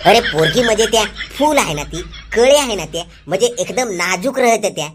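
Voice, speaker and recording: Teasing cartoon village buddy played by a man, rural Palghar Marathi accent, blunt animated questioner, music loud underneath. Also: high-pitched, a little fast. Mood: mock scolding. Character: nosy, teasing young village man, would-be singer. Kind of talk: comic cartoon dialogue.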